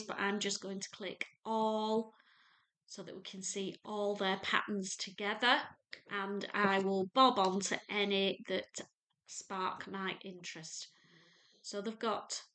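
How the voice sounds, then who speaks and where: A woman in her fifties with a North Lancashire accent talks at an easy, unhurried pace, chatty and homely. Her pitch sits in a middle range. She speaks to a desk webcam in her home sewing room. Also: sharp tone, rough recording. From England. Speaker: sewing vlogger. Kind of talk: casual chat to camera and screen walkthrough.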